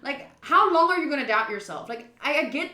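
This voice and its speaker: Bright-voiced woman streamer in a roomy space. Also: sits high, lively intonation, brisk. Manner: informal, blunt, swearing. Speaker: streamer playing a visual novel. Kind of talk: livestream commentary mixed with reading game text aloud.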